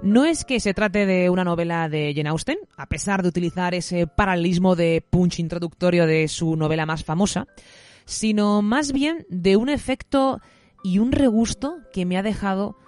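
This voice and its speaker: A woman presenting a literary podcast in an expressive, effusive, semi-scripted way. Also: on the low side, moderate pace, faint music behind.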